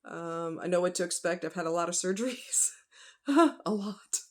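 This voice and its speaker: A woman's voice: lowish voice, moderate pace. A woman vlogger talking casually and directly to her viewers in a folksy, conversational, emphatic way.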